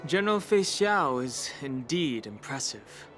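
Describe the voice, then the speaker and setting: A man voicing a calm, reserved young warrior mentor in an anime-style video game. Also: mid-range voice, a little slow, soft background music. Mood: composed, reassuring. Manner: composed, encouraging.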